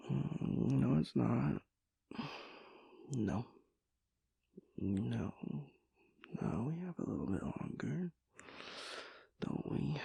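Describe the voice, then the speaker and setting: Gravelly, breathy, drowsy boyfriend played by a man. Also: medium pitch, dark tone, dry recording, lo-fi sound, heavily acted. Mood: sleepy, playfully reluctant. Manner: halting, mumbling, playfully pompous wordy rambling. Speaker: audio roleplay performer. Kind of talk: intimate audio roleplay, half-whispered comic monologue.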